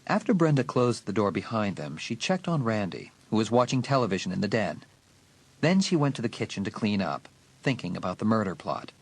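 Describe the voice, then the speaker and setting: A man's voice: fairly low pitch, big pitch swings, slightly rough, poor audio. A man narrating a horror audiobook in a dramatic, suspenseful scripted style. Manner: suspenseful, deliberate emphasis.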